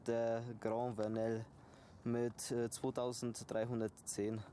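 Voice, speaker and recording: A man in mountain guide training giving a halting summit briefing outdoors, with many hesitations. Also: mid-range voice, monotone, warm tone, a little hiss or hum.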